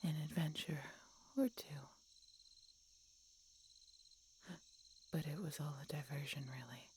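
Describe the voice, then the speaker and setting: A woman voicing a tender, regretful, dying she-dragon in an audio roleplay, breathy and whispery, speaking haltingly with weak, labored breath. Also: sits low, steady tone, a little mellow, a little hiss or hum, natural voice. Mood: sorrowful, apologetic. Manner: slow, halting, labored speech with pauses and gasps. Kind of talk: audio roleplay, intimate dramatic monologue.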